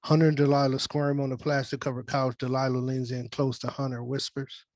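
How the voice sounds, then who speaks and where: Flat, dry male narrator. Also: mid-range voice, fairly quick, no room sound. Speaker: stage-direction narrator. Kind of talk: scripted narration, table read.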